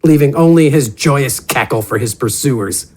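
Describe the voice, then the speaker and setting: A man's voice: mid-range voice, normal speed, darkish tone. A man reading scripted fantasy adventure narration in a dramatic storytelling style. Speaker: narrator and voice actor. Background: music.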